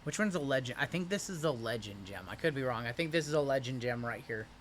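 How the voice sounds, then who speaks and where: A man hosting a livestream card break, calling out pulls with hype and jokes, his voice wearing hoarse. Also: medium pitch, expressive, fast, fairly bright tone. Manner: hyped, joking, calls out cards as they are pulled. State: voice going hoarse from shouting.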